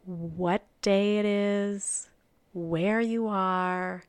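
Very slow, soft-spoken meditation guide woman. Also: mid-range voice, fairly even intonation, clear, resonant tone, no echo. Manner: soft, slow and soothing, trailing off into near-whispers.

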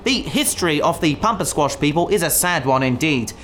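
An actress playing a reluctant, sheepish pacifist space hero in a sci-fi audio drama, with a hesitant, mild-mannered, protesting way of talking. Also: female voice, deep voice, expressive, brisk, slight background noise. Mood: puzzled, defensive.

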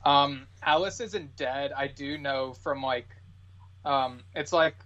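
A man's voice: medium pitch, takes it slowly, pushed and bright. A man chatting casually as a podcast guest, tentative and matter-of-fact, on dull lo-fi audio.

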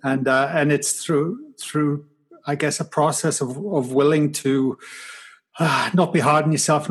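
A man in his forties from Wales with a mild Welsh accent, a guest speaking reflectively in a casual interview. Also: medium pitch. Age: 40s. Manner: reflective, self-deprecating, many restarts.

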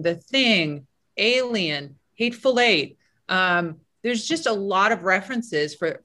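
A woman's voice: mid-range voice, sharp tone. A woman screenwriter with a big voice, chatting casually as a podcast guest, self-deprecating and full of restarts.

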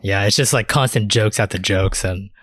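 A man's voice: on the low side, normal speed, fairly bright tone, dry recording. A man co-hosting a podcast, chatting informally and crudely about anime with plenty of swearing. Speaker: podcast co-host. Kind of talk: casual chat about anime.

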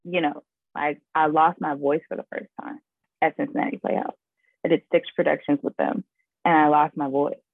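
Young actress on a tinny phone line. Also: female voice, low-pitched, normal speed, vocal fry, dry recording. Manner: animated storyteller, laughs often, says "like" a lot. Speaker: guest actress. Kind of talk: casual interview chat.

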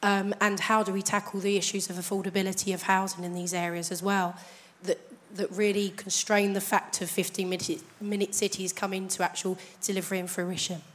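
Hoarse woman, audience questioner, conversational and measured. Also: mid-range voice, level tone. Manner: chatty, anecdotal, measured. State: croaky, hoarse voice. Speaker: audience member asking the panel. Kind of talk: question from the floor at a conference.

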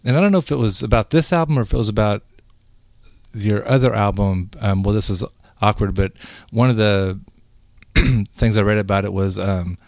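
A man hosts a relaxed interview, talking haltingly and trailing off into fillers. His voice sits on the low side. The sound is dry and close. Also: no echo. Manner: halting, lots of fillers and restarts. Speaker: podcast host. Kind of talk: casual interview chat.

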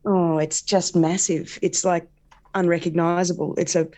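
A woman's voice: lowish voice, level tone, moderate pace, dark tone. A middle-aged woman, a comedian chatting casually as an interview guest, hesitant with many fillers. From Australia.

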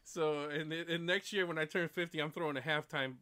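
A man's voice: a little high, fairly even intonation, brisk, bright, forceful tone. A man in his late forties hosting a casual livestream chat, rambling and repeating himself, laughing often. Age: 40s. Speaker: stream host.

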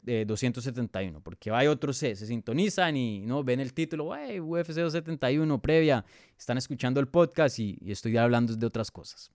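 A man's voice: mid-range voice, expressive, quick pace, a little mellow, no room sound, studio quality. A man with a Bogotá Colombian accent hosting a casual live sports podcast, talking conversationally and thinking aloud as he gives his analysis. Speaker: podcast host and MMA analyst. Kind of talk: casual live sports preview, answering viewer questions.

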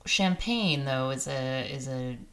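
A woman's voice: a bass voice, takes it slowly, fairly bright tone. A woman co-hosting a wine show, reading out news items and asking her co-host questions in a lightly teasing way. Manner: newsy, inquisitive, lightly teasing. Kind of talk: conversational wine news presenting.

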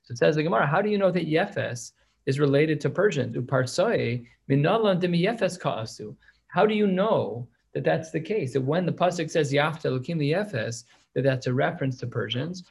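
A man's voice: fairly high pitch, lively intonation, moderate pace, gravelly, husky. A man teaching a class on a religious text, reading passages aloud and explaining them in casual English. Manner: reads Hebrew and Aramaic, then explains it in plain English. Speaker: Talmud teacher.

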